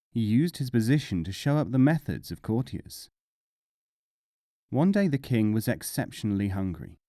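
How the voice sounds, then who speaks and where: Mellow, expressive male storyteller. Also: mid-range voice, no echo, studio quality. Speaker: audiobook narrator. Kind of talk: scripted storybook narration of humorous folk tales.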